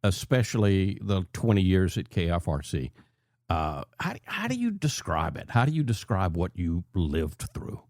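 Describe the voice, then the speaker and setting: A man's voice: deep voice, very animated, normal speed, a little mellow, no echo, studio quality. A man hosting an interview show, asking admiring questions conversationally and feeling his way toward the phrasing.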